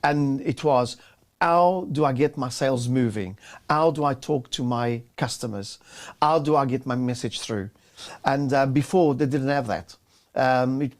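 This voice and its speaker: A man's voice: medium pitch, normal speed. A man co-hosting a casual business chat, often restarting and repeating words as he talks. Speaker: co-host.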